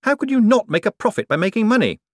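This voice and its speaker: A man voicing a quick-witted young male schemer who talks in a brisk, incredulous way. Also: sits high, very animated, no echo, studio quality, pitched above the performer's own voice.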